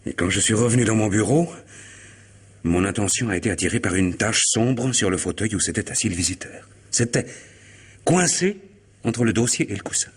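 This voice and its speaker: A man acting in a French radio mystery play as a betrayed, distraught husband, sardonic and agitated while forcing himself to stay calm. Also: fairly low pitch, normal speed, husky. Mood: urgent, grave.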